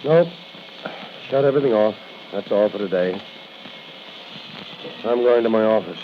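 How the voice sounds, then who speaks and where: An actor playing a stern, dutiful prison warden with clipped authority, in 1940s radio drama on a hissy recording. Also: male voice, fairly low pitch, moderate pace, muffled recording, close to an everyday voice. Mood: businesslike, grave.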